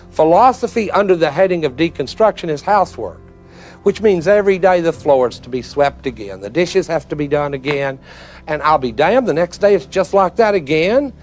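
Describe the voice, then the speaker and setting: A man giving a spoken lecture in a folksy, emphatic manner, repeating phrases for effect. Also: fairly high pitch. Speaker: philosophy lecturer.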